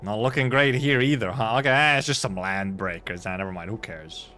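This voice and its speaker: Male game streamer, low register, wry and casual reaction patter, light music underneath. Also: brisk, bright, forceful tone. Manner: offhand, wry, muttered asides. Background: faint game music.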